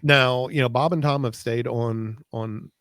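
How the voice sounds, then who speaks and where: A man's voice: mid-range voice, fairly even intonation, unhurried, fairly bright tone, dry recording. A middle-aged American man co-hosting a podcast in casual conversation. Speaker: podcast co-host. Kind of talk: casual chat.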